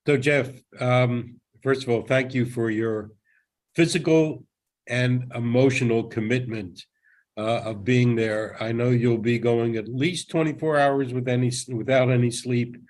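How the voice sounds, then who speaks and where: A man moderating a live online briefing, who thanks speakers and introduces the next one in a friendly, halting way with many fillers. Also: medium pitch, steady tone, a little mellow.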